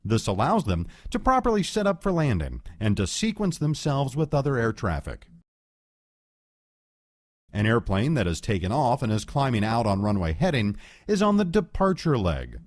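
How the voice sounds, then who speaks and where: A man reading scripted educational narration as an instructor, explaining in a clear, measured way. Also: medium pitch, a little mellow, no room sound, studio quality.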